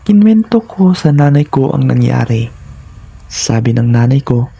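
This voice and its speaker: A man's voice: mid-range voice, sing-song, measured pace, gravelly, warm tone, breathy edge. A man narrating scripted first-person horror stories in a dramatic, suspenseful manner, in short phrases.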